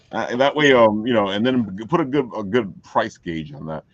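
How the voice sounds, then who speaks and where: Male host, casual and encouraging, very animated intonation, close dry recording with dulled tone. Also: lowish voice, measured pace, no room sound. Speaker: show host. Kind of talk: casual chat. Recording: dry, dull lo-fi call audio.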